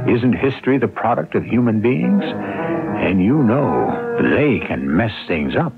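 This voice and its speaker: A man hosting a radio drama with theatrical scripted narration and deliberate, emphatic phrasing. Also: medium pitch. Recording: narrow-band vintage radio, music behind.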